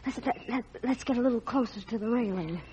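A woman's voice: a little high, quick pace, dark tone, slight background noise, close to an everyday voice. An actress playing a dreamy, lovestruck teenage girl in 1940s radio style. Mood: affected, airy. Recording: muffled vintage radio broadcast.

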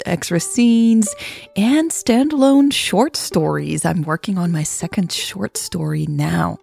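A woman's voice: lowish voice, gravelly, warm tone. A woman hosting a fiction podcast, giving announcements and narrating stories.

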